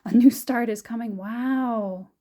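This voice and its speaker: A young adult woman giving a gentle, musing tarot reading, her voice a little raspy and scratchy, often laughing softly. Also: fairly high pitch, takes it slowly. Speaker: tarot reader. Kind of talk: soft-spoken tarot reading.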